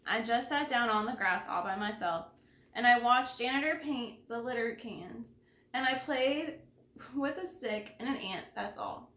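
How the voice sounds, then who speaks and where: A woman with a fairly high voice reads a children's story aloud. Her delivery is expressive, like a kindergarten teacher's, and the recording has plenty of room echo. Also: unhurried. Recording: echoey room.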